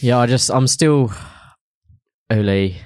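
A young man hosting a casual chat, relaxed and hesitant, often repeating words. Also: medium pitch, slow, clear, resonant tone, dry recording, clean studio sound. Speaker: podcast host asking questions.

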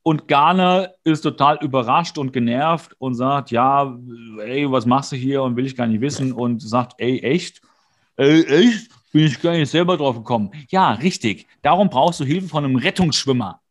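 A man's voice: medium pitch, normal speed. A male podcast co-host casually recapping and bantering, with a sarcastic, mock-exasperated way of talking.

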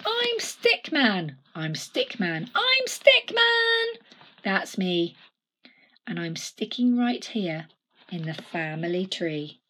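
A woman's voice: on the low side, sing-song, slow, a bit bright, a little hiss or hum, heavily acted. A woman voicing a small, proud male stick figure in a storybook, with a sing-song, emphatic delivery. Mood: insistent.

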